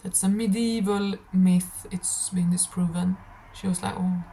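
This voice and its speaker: Slightly raspy woman rambling over background noise. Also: on the low side, a little slow, low-quality recording. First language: not English. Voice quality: a little raspy. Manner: scattered, animated storytelling. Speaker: tarot reader hosting a stream. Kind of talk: casual rambling monologue.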